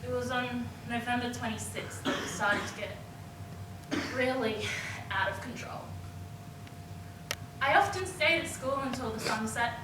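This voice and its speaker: A woman playing an anguished young woman in a theatrical monologue about abuse, with halting delivery and dramatic pauses. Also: recorded from a distance, close to an everyday voice. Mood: hushed sorrow. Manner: halting, dramatic pauses, swinging from hushed to screamed. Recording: echoey room from afar, noisy with rumble.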